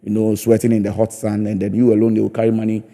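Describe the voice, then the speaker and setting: Male comedian guest, Ghanaian accent, lowish pitch, quick emphatic delivery with repeated phrases. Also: completely flat delivery, mellow. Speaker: comedian and actor, interview guest. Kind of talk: conversational TV interview.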